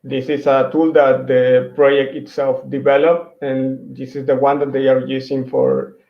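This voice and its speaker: A man walks through his slides at an ordinary pitch, pausing on fillers and restarting his sentences as he goes. His voice comes through a video-call mic in an echoey room. The sound is somewhat dull and lo-fi. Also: flat delivery, gravelly. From Mexico. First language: Spanish. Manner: hesitant, many restarts and fillers. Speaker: conference speaker. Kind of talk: virtual tech talk with slides. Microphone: computer mic on a video call.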